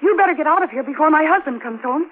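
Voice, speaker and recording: An actress with an American accent playing an anxious, protective housewife in an old-time radio thriller, earnest and fretful in manner. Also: female voice, high-pitched, animated, racing, a faint crowd. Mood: frightened. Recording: muffled, noisy old radio recording.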